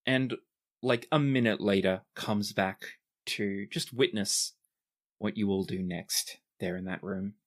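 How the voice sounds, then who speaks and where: A man narrating and voicing characters as game master of a tabletop role-playing game, relaxed and using fillers. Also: on the low side, measured pace.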